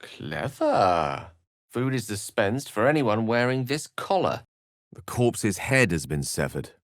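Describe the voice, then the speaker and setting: A man's voice: fairly low pitch, big pitch swings, slow pace, dry recording, clean studio sound, full character voice. An actor playing a wry, scholarly middle-aged wizard in a fantasy video game, articulate and theatrical in his phrasing. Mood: dry amusement. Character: eloquent, wry, scholarly male wizard adventurer.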